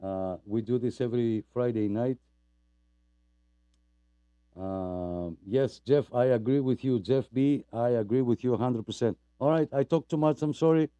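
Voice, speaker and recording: A man hosting a live radio net, talking in a casual, rambling way with plenty of fillers. His voice is dark and mellow, smooth and resonant, and it sounds very dry and close to the mic. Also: medium pitch, unhurried, dry recording. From Greece. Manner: rambling, apologetic, chatty with many fillers. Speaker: net host.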